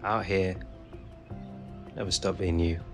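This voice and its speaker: A man's voice: sits low, steady tone, takes it slowly, over music. A young man with an English accent playing a sincere, modest young footballer in a naturalistic video game cutscene. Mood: sincere, reflective. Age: young adult.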